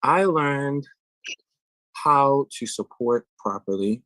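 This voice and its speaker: A man's voice: medium pitch, expressive, slow, sharp tone, no room sound, low-quality recording. A man, a singer answering interview questions and explaining vocal technique thoughtfully and at length. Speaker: guest singer.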